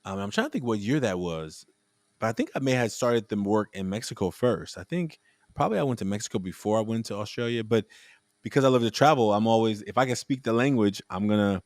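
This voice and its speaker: A man with a somewhat low voice hosts a relaxed conversation and tells a long story in a loose, rambling way, often restarting his sentences. The sound is close and dry, with no room around it. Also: quick pace, dry recording. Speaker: podcast host. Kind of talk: casual conversational interview.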